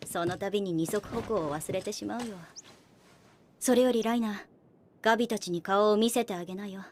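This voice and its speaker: An actress playing a shrewd, languid young woman soldier in anime, calm and teasing, with a soft, unhurried, analytical way of speaking. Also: mid-range voice, a little hiss or hum, natural voice. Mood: gentle teasing. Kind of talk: Japanese anime voice acting. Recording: dialogue over background music.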